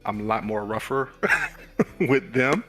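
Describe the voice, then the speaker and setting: Man, somewhat low and bright voice, halting and laughing in chat, faint music behind. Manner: hesitant, laughs often. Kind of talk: casual chat, storytelling.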